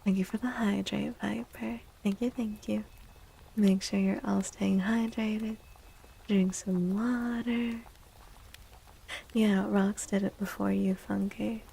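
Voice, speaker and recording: A woman speaks in a soft, breathy voice with a crackle of fry, often dropping to a whisper. She takes her time, gently reassuring listeners in the manner of an ASMR tarot livestream. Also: mid-range voice, steady tone, takes it slowly. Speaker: livestream host and tarot reader.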